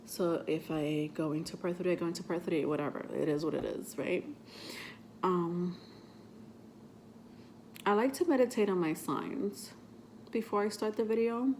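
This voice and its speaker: A woman's voice: lowish voice, moderate pace, long pauses, a little mellow, slight background noise. A woman with a stuffy voice from a cold, hosting a tarot vlog in a rambling, chatty way full of fillers. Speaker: tarot reader and channel host. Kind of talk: casual vlog talk to camera.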